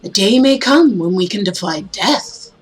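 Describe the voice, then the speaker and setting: A woman voicing an intense, visionary male medical researcher, speaking in a deliberate, grandiose way in a visual novel reading. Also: mid-range voice, expressive, slightly rough.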